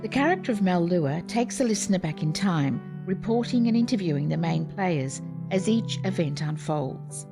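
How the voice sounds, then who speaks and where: A woman giving clear, even, scripted narration for a series of historical radio plays. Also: on the low side, normal speed, hardly any pauses. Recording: music playing behind. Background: music.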